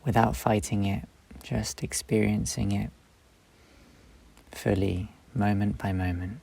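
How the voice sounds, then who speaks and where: A man leading a guided meditation, speaking slowly and gently in short, soft phrases with long pauses. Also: lowish voice, fairly even intonation, vocal fry, breathy edge. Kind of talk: guided meditation, instructional and soothing.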